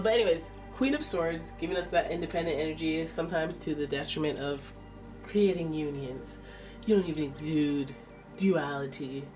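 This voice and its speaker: Woman w a stuffy nose doing a tarot reading, talky and excitable, a bit off mic, faint music behind. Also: deep voice, far from the mic, poor audio. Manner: chatty and exclamatory, thinking aloud. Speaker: tarot reader. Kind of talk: casual tarot reading to camera.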